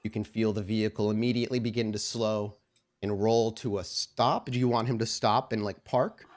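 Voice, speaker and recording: A man working as game master, improvising descriptive narration for a tabletop role-playing game in a halting, deliberate way. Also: on the low side, flat delivery.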